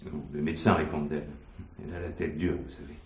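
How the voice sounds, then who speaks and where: A man playing a calm, apologetic character in a stage mystery, in a roomy, dull recording. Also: rumbling low, fast talker. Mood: apologetic, reassuring. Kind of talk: French stage mystery acting. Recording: echoey, dull stage sound, faint noise.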